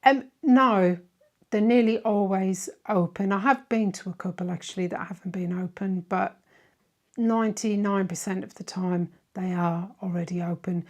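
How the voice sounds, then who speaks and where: A middle-aged woman with a softened Irish accent, chatting casually as she answers viewer questions. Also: medium pitch, fairly even intonation, normal speed. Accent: Irish, softened by decades in southern England. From Ireland. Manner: chatty and warm-hearted, many "um"s and tag questions. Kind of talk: casual Q&A chat.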